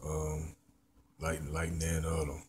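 A man with a Memphis Southern accent talks in a very low, even drone, rambling and repeating himself as he reviews food while eating. He films himself on a phone held at arm's length in a plain indoor room. A faint hiss sits under his voice. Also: slow. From born in Memphis, raised in Mississippi. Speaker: vlogger. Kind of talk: casual food review vlog. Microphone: handheld phone camera at arm's length.

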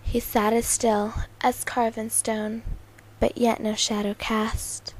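A young woman amateur auditioning for voice-over, speaking in a halting way with occasional stumbles over words. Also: on the high side, little pitch movement. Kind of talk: casual intro and slow poem recitation. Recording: noisy amateur home recording.

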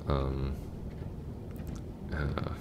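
A man's voice: monotone, drawn-out words, background noise, heavily acted. A young man playing a chatty, goofy, affectionate long-distance boyfriend in a roleplay audio, talking casually in a rambling, hesitant way. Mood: rambling, bashful. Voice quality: very deep, gravelly.

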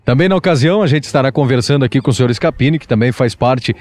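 A man's voice: medium pitch, a little mellow, no room sound. A young man with a Brazilian Portuguese accent reporting outdoors on a dirt road with a handheld mic. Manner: brisk reporter's delivery with filler restarts. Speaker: local radio and web TV reporter. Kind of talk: field news report. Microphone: handheld microphone, masked.

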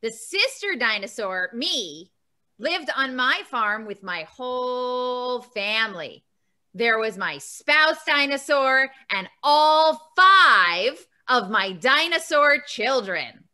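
A woman's voice: sits high, expressive, slow, pushed and bright, heavily acted. A woman voicing a kind, bubbly sister dinosaur puppet, telling a children's story in a playful, exaggerated way. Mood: storytelling. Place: room at home. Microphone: video call, computer mic.

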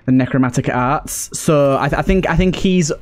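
A man's voice: a little high, normal speed, a little mellow. A British man giving casual let's play commentary, thinking aloud and addressing the characters on screen as he goes.

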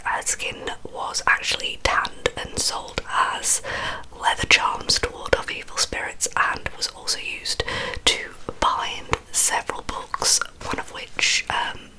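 A young woman with an English accent whispers softly, right up at the microphone, in an ASMR storytelling style. Her breathy whisper is crisp and sibilant-bright, and she takes her time, pausing with slight hesitation as she goes. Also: low-quality recording. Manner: soft, unhurried, slightly hesitant. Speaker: ASMR video host. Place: small carpeted bedroom. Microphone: large microphone very close in front of the mouth.

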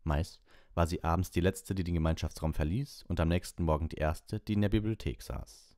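Low mellow guy reading an audiobook story, dry sound. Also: fairly quick, dry recording. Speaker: audiobook narrator. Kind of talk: scripted storybook narration.

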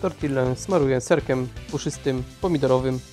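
A man's voice: medium pitch, moderate pace, darkish tone. A man giving scripted recipe narration as a cooking host. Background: guitar music.

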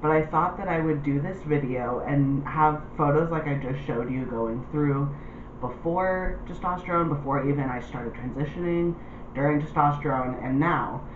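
Young male vlogger, casual delivery, roomy room sound with clear background noise. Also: fairly high pitch, narrow, tinny audio. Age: young adult. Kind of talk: casual talk to camera. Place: small indoor room.

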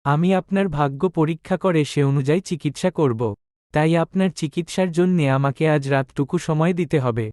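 A man acts as a shrewd village physician and astrologer in a cartoon moral tale. His voice is smooth and slightly high for a man, recorded dry and close. He speaks formally, with measured deference. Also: darkish tone, no echo, lightly acted. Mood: courteous, assured. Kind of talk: animated moral tale dialogue.

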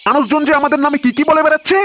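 A man's voice: very high-pitched, steady tone, rapid-fire, very noisy. A man voicing an angry cartoon husband who blusters and threatens in a comedy. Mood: angry, threatening.

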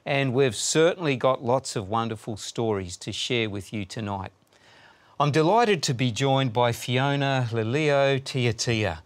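A man's voice: medium pitch, expressive, measured pace. A middle-aged man, a pastor, hosting a church broadcast in a studio with scripted, welcoming lines. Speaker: pastor and host. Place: studio with blue screens.

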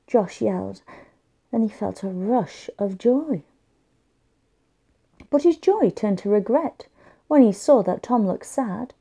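Slow, high-voiced woman storyteller. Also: dark tone. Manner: careful, storytelling delivery for young children. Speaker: children's story reader. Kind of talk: expressive read-aloud of a picture book.

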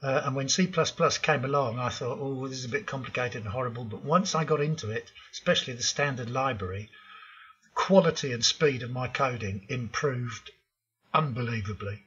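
A man's voice: medium pitch, fairly quick, sharp tone, slightly rough, dull audio. An older man hosting a programming tutorial, talking through slides in a frank, opinionated way with frequent ums. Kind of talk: narrated slide lesson.

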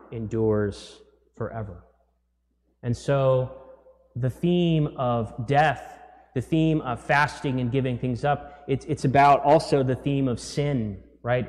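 Casting: thirties male priest, chatty unscripted homily, mid-pitched voice, long church echo. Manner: conversational, gesturing, with filler words and restarts. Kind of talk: sermon. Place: large church, indoors.

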